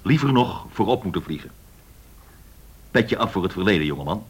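An actor playing a brusque, forward-looking 1930s airline director in a Dutch radio drama, husky and emphatic. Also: male voice, sits low, animated, brisk. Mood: curt, assertive. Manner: curt, emphatic.

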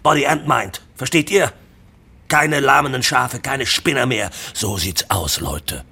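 A man's voice: medium pitch, measured pace, sharp tone, slightly rough, full character voice. An actor in a radio play playing a hard-nosed, irate male boss, blunt and coarse in manner. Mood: furious, ranting.

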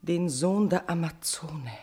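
A woman's voice: lowish voice, slow, dark tone, husky, heavily acted. Tormented, passionate tragic queen. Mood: guilty despair. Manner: declamatory, swinging from whispers to outbursts. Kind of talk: classical verse tragedy radio play.

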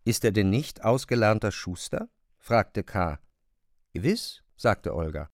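A man narrating a literary radio play in measured, precisely phrased sentences. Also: on the low side, lively intonation, no room sound, studio quality.

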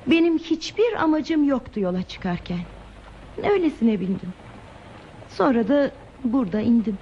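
Tiz sesli, gizemli, amaçsız yolcu kadın. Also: female voice, on the high side, very animated, moderate pace. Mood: evasive, detached. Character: aimless, enigmatic woman traveller, detached and wondering. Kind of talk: radio theatre acting. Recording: dull, lo-fi radio play tape, hissy.

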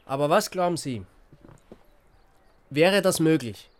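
A sardonic Austrian man in a radio drama, his voice on the high side. He grumbles and exaggerates in colloquial speech, with a touch of indignation. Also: expressive, slow, dry recording. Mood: dry, uneasy. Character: sardonic, aggrieved man, prone to lurid storytelling.

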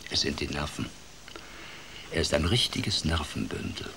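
Ein Mann, der in einer Kindergeschichte eine weise, gütige Eulendame spricht, besänftigend und bestimmt. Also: male voice, extremely low pitch, very animated, creaky, background noise, voice pushed down, full character voice. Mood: compassionate. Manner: soothing, measured, authoritative. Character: wise, calm female owl, kindly authority.

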